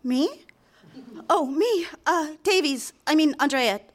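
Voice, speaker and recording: A woman's voice: high-pitched, animated, slow. A woman playing a nervous, smitten young office typist in a live radio drama, earnest and easily flustered. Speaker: actor. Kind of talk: live radio drama acting with confessional narration.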